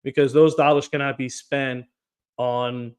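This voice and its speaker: Man, political candidate, earnest, repeats words, middle pitch, podcast interview. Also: little pitch movement, unhurried, clear, resonant tone. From Portugal.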